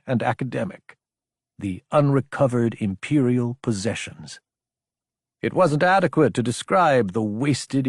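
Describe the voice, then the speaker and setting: A man's voice: medium pitch, a little mellow, no room sound, clean studio sound. A man reading an audiobook as dramatic scripted narration.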